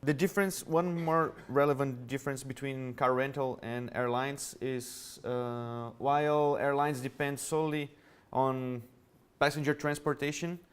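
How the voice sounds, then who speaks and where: A man's voice: mid-range voice, smooth. A man from Brazil, a native Portuguese speaker with a Brazilian Portuguese accent, giving a conversational, explanatory conference presentation to an audience. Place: conference hall.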